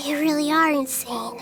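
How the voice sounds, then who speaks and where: A woman voicing a tiny, plucky, childlike fairy companion in an anime-style video game, earnest and curious with a squeaky delivery. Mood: uneasy.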